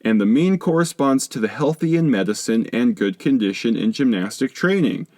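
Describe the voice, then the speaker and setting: A man reading a scholarly text aloud as an audiobook narrator, in a measured, formal, lecturing way. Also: medium pitch, lively intonation, darkish tone, dry recording, studio quality. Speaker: audiobook reader.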